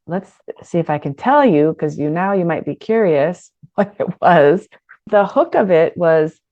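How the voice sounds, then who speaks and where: A middle-aged woman teaching an online business course in a lively, conversational way, with frequent restarts. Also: deep voice, no echo, muffled recording. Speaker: business coach.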